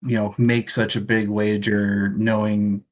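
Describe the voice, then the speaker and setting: Low-voiced man hosting a casual discussion. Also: little pitch movement, low-quality recording. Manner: halting, searching for words. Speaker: show host, reads viewer comments. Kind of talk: casual livestream book discussion.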